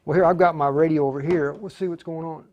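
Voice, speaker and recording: A man with a slightly high voice hosts a live hands-on tutorial. He talks in an unscripted, halting way, often muttering to himself as he works through each step. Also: steady tone, lo-fi sound, background noise. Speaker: host of a live radio tutorial.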